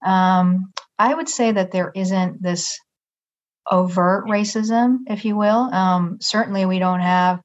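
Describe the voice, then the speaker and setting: A middle-aged woman from Japan, a native Japanese speaker, giving measured, thoughtful interview answers and choosing her words carefully. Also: medium pitch, fairly even intonation, lo-fi sound. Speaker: interview guest.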